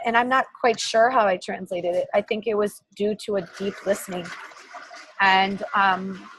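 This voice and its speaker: Husky earnest woman author. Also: mid-range voice, no room sound, rough recording. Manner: earnest, searching, restarts phrases. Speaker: author being interviewed. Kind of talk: candid conversational interview.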